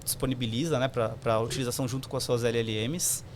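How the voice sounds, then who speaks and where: A man's voice: medium pitch, fairly even intonation, quick pace, a little hiss or hum. A man, a podcast guest explaining technical concepts conversationally, with many fillers.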